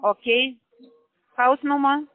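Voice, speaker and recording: High-voiced man in broken German. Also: fairly even intonation, no echo, faint music behind. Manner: broken, halting German, patient and repetitive. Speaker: phone scammer. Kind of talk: phone call. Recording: tinny phone line.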